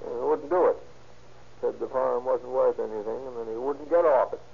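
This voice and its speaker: An actor playing an irritable, evasive murderer under questioning in an old radio crime drama, sullen and defensive. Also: male voice, mid-range voice, brisk, creaky, breathy edge. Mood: grudging confession. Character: irritable evasive murder suspect, grudgingly confessing killer. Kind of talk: 1940s-50s radio crime drama acting. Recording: tinny, noisy old-time radio recording.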